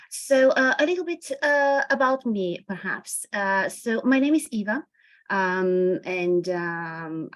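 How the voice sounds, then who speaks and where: A woman's voice: mid-range voice, poor audio. A woman with a Bulgarian accent, a non-native English speaker, presents a webinar over a computer mic. She talks in a patient teacher's way, with many uh and um fillers between phrases. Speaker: EAL specialist presenting a webinar.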